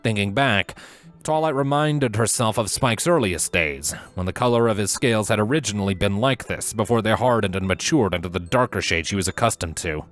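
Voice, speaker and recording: A man's voice: fairly low pitch, brisk, fairly bright tone, soft background music. A man reading a scripted story aloud as the narrator. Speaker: fan fiction narrator.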